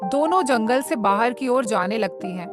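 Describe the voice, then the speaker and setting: A woman's voice: a little high, lively intonation, moderate pace. A woman narrating a scripted children's cartoon story as the storyteller. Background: music.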